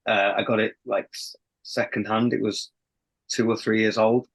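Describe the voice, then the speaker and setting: A man's voice: fairly low pitch, level tone, moderate pace, low-quality recording. A young man with a faint Sheffield accent, a band's lead singer chatting as a guest in a casual podcast interview, rambling and laughing often. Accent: Sheffield, softened. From England.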